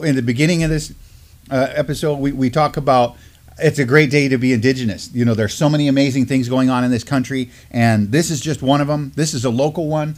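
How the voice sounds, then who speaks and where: A middle-aged man with an American accent co-hosts an informal news discussion, seated at a desk in a small studio. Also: medium pitch. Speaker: co-host of a Native news live stream. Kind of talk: informal news discussion, partly reading articles aloud.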